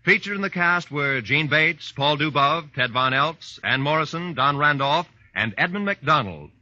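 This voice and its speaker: A man reads credits as a 1940s radio announcer. His voice is forceful and slightly high, on a muffled old recording. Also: lively intonation, unhurried, no room sound.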